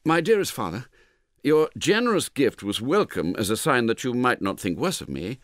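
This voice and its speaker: A man's voice: mid-range voice, very animated, moderate pace, a bit bright, no echo, studio quality, pitched above the performer's own voice. A man voicing a courteous, affectionate middle-aged son reading out his formal letter in an audiobook. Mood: sincere, courteous. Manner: amiable, courteous. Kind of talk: audiobook character voice.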